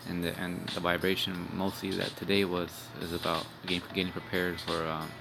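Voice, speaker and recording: Deep gravelly man preaching over music. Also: completely flat delivery, fairly quick. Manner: rambling, repeats "man" and "all right"; profane, blunt. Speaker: street preacher. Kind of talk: informal religious video sermon. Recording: music playing behind.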